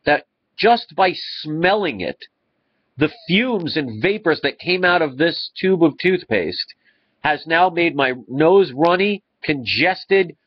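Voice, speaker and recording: Congested man on a dull webcam. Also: on the high side. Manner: earnest, rambling with restarts. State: congested, stuffy nose. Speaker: vlogger. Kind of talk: casual talk to camera. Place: living room indoors. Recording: slightly dull lo-fi webcam audio.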